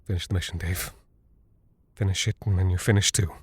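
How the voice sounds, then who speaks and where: A man acting a guilt-ridden, delusional father and space pilot in a horror audio drama, halting and stammering as he rambles. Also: sits low, fairly even intonation, breathy edge, no room sound. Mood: drained, confessional.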